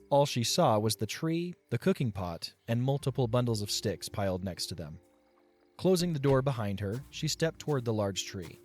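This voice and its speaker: A man's voice: medium pitch, slightly rough. A man reading scripted story narration as the narrator of an audio drama.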